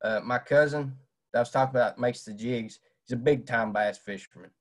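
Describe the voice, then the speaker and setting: A man's voice: mid-range voice, a little fast. A young man, giving a casual fishing talk over a webcam with many "uh" fillers. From Southwest Virginia. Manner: plain-spoken, many "uh" fillers. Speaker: fishing presenter. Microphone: webcam on video call.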